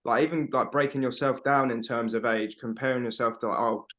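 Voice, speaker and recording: A young man in his twenties on a video call from home. He rambles and presses his points hard, swearing often and tossing in "bro" at every turn. His voice sits at an ordinary middle pitch. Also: steady tone, brisk, fairly bright tone. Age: 20s. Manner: rambling, emphatic, swears a lot, fillers like "bro". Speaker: podcast co-host. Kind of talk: casual chat.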